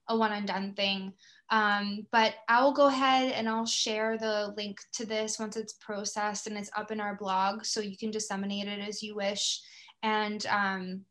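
A woman with an American accent chats as the host of a podcast. Her voice sits at an average pitch for a woman, and her delivery is informal and conversational. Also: a bit bright. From Florida, United States. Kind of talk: casual interview chat.

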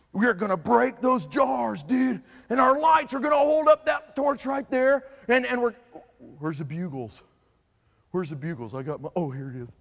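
A man's voice: on the high side, in a large hall. A man voicing an eager, slangy surfer-dude leader of Israelite soldiers, rambling along in a comedic Bible sketch.

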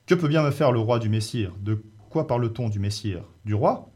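A boisterous young giant hero of a storybook epic, acted out by a male storyteller. He is bold and defiant, declaiming in big, booming calls. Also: lively intonation, normal speed, a little mellow, slightly rough. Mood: defiant, demanding.

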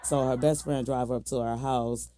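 A man's voice: mid-range voice, fairly even intonation, moderate pace, background noise. An American man co-hosting a true crime podcast, telling the case casually in slangy, colloquial language. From Minnesota, United States.

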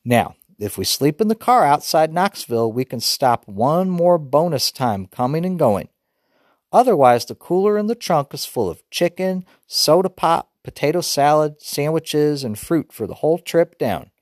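A man voicing an organized mother who reads out a trip plan in a brisk, careful, list-like way. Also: on the high side, sing-song, no room sound, studio quality, voice pushed up. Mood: planning.

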